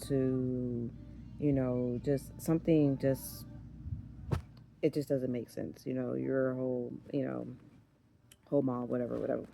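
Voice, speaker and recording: A hoarse woman in her thirties talks casually to camera, her voice gravelly and dark with a raspy edge. She wanders through her thoughts, trails off and restarts. A faint hiss sits under the recording. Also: very deep voice. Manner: rambling, loses her train of thought. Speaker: vlogger. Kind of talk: casual chat.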